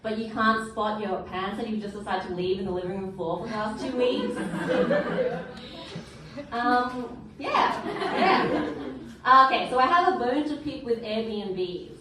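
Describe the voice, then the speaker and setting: A woman's voice: fairly high pitch, expressive, takes it slowly. A woman with an Australian accent doing a stand-up set in a small club, bubbly and self-deprecating. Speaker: stand-up comedian. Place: small comedy club stage indoors. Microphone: stage mic, heard from an audience camera. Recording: distant, echoey club audio with audience noise.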